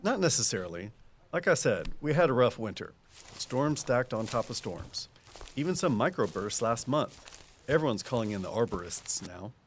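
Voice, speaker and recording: An actor plays a folksy small-town conservation man in an audio drama. His voice is medium in pitch, and he explains things patiently in an easygoing, down-to-earth way. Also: very animated, moderate pace, slight background noise, close to an everyday voice. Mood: measured, puzzled.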